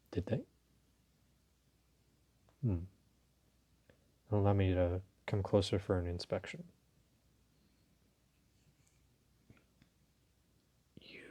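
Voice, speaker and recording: Deep-voiced, courteous mafia boss, played by a man. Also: a little mellow. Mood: frank, flirtatious, then intimate, teasing. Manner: polite, unhurried, teasing, hesitant phrasing. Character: smooth, courteous, flirtatious male mafia boss, dominant. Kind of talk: intimate audio roleplay addressed to the listener.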